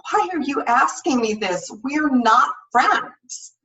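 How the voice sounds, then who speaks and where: A woman's voice: sits high, animated, slow, pushed and bright, distant mic, dull audio, voice pushed up, heavily acted. A woman voicing a studious, stressed teenage girl in a storybook reading, with a curt, guarded manner. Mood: defensive. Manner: clipped, guarded, defensive.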